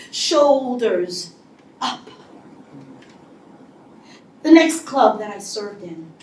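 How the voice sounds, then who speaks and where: A woman giving a motivational speech to an audience, telling her story in a lively way and acting out what people said. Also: on the high side, recorded from a distance. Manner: animated storytelling with acted-out quotes. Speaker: speaker. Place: large hall before an audience. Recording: echoey hall, voice from afar.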